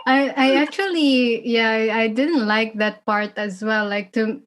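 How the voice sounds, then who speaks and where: A young woman from the Philippines speaks English with a Filipino accent as a podcast host. Her voice sits a little high and she talks slowly, laughing easily and leaving sentences hanging on fillers. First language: Filipino language. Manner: laughs often, trails off with fillers. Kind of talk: casual interview chat.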